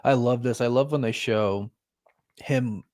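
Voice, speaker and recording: A man's voice: medium pitch, measured pace, a little mellow. A man in his thirties chatting casually as he hosts a watch party. He talks in a loose, running way, often laughing and making fun of himself. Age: 30s. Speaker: host.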